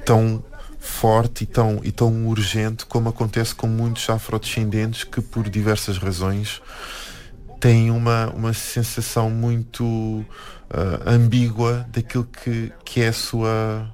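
Male guest, European Portuguese accent, low voice, reflective and halting. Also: little pitch movement, darkish tone. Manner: hesitant, many restarts and "não é?" tags. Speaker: guest, musician and anthropologist. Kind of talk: reflective interview talk. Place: radio studio.

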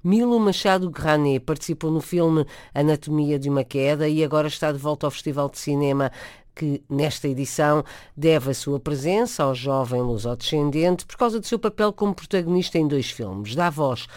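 A man with a European Portuguese accent presenting radio news in a measured, formal, scripted read. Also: on the high side, a little mellow, clean tone, no echo, studio quality. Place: radio studio.

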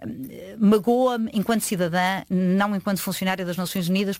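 A woman's voice: mid-range voice, a little mellow, no echo, clean studio sound. A woman, giving earnest, emphatic interview answers with frequent hesitations. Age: 50s. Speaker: UN agency official, guest.

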